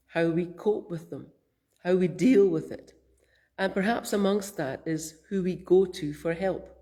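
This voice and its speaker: A Scottish woman minister giving a gentle, thoughtful spoken reflection, her voice croaky from a cold. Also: lowish voice. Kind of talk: reflective sermon.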